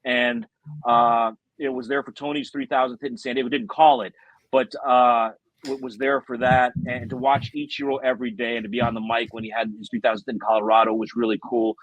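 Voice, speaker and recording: A man's voice: mid-range voice, little pitch movement, fairly quick, dry recording, lo-fi sound. A middle-aged American man, a former baseball radio announcer, telling stories conversationally as a podcast guest. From South Florida, United States. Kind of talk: conversational storytelling.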